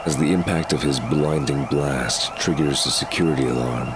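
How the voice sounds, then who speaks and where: A man's voice: extremely low pitch, moderate pace. A man reading scripted narration for an audio drama. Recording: clear background ambience noise.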